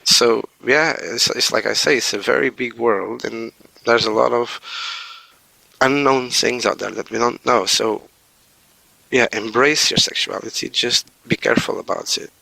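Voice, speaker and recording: Hesitant man, gravelly. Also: mid-range voice, a little slow, sharp tone, no echo, low-quality recording. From Portugal. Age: 40s. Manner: hesitant, with many fillers and restarts. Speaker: podcast guest. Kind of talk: casual interview talk.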